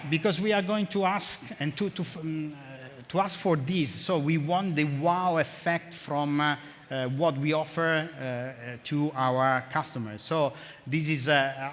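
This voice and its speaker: Halting man in a big hall. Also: fairly high pitch, fairly bright tone. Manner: halting, many fillers and restarts. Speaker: conference speaker. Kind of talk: conference talk. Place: large conference hall. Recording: long hall reverb, faint noise.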